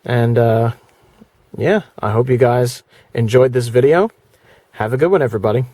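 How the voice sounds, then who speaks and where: A man's voice: medium pitch, moderate pace, dark tone. A man talking in a casual, plainspoken, rambling way, like someone presenting a product review vlog. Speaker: video presenter.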